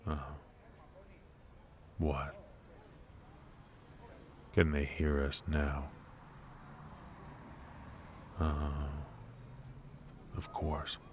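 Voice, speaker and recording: Man acting a very deep-voiced, smug mafia boss. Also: fairly bright tone, a little hiss or hum, exaggerated voice. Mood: quietly probing. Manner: unhurried, smug, probing, with pauses and musing hums. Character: brilliant, ruthless, teasing mafia boss, calmly bargaining. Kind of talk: intimate ASMR roleplay, noir audio drama.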